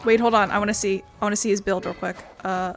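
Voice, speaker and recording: A woman's voice: medium pitch, steady tone, fast talker. A woman gaming streamer giving casual commentary as she plays. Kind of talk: casual let's-play commentary. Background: game music.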